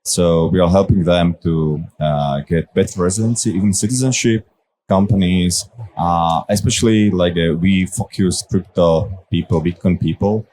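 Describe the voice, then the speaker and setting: A man speaking quietly on a conference panel, often repeating words as he goes. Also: low voice, normal speed. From Slovakia. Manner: soft-spoken, repeats words. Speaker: panelist, company founder. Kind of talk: unscripted panel talk. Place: conference stage.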